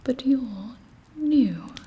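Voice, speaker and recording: A woman voicing a haughty, seductive vampire queen in an ASMR roleplay, speaking softly with an aristocratic, condescending manner. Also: high voice, lively intonation, very slow, a little hiss or hum, full character voice. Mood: intrigued, teasing. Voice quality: soft breathy whisper.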